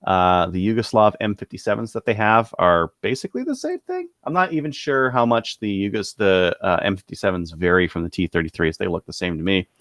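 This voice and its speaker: A man co-hosting a podcast, casually running through products in a halting, thinking-aloud way. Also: low voice, lively intonation, fairly bright tone, no room sound, clean studio sound. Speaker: podcast co-host presenting gun deals.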